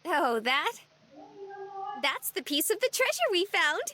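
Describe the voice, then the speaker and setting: A man's voice: very high-pitched, big pitch swings, unhurried, noisy background, pitched above the performer's own voice, exaggerated voice. A man voicing a sweet, friendly, innocent young woman in a visual novel, with a cheerful, guileless manner. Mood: eager, bright.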